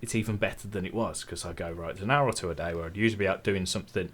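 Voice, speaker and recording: A man's voice: low-pitched, racing. A young man with an English Midlands accent, chatting casually and easily as an interview guest. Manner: relaxed, chatty, self-deprecating. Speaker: tech YouTuber guest.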